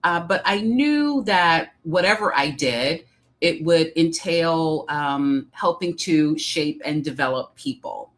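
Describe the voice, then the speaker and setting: A middle-aged woman from Detroit with a Midwestern accent, speaking as an interview guest in a measured, reflective way. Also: low voice, animated. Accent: Midwestern American. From Detroit, Michigan. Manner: measured, reflective, many um fillers. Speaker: guest, executive coach. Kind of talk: interview conversation.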